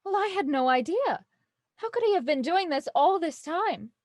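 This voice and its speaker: A woman's voice: extremely high pitch, sing-song, normal speed, no echo, clean studio sound. A woman playing a genteel, anxious young heiress in a radio murder mystery, speaking politely and properly and easily flustered. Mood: shocked. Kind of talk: audio drama acting, Victorian murder mystery radio program.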